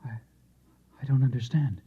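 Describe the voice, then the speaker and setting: Intense naive husband who thinks hes a martian, husky, old radio play, muffled. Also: male voice, medium pitch, moderate pace, warm tone. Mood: bewildered. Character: earnest, unstable husband who believes he is Martian. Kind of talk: golden-age radio drama acting. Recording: dull vintage radio broadcast.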